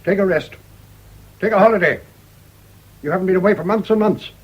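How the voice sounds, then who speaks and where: Gruff old general dad for radio drama, high raspy voice, bossy clipped phrases. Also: male voice, fairly quick, poor audio. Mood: bluff urging. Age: older. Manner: blunt, commanding, clipped phrases.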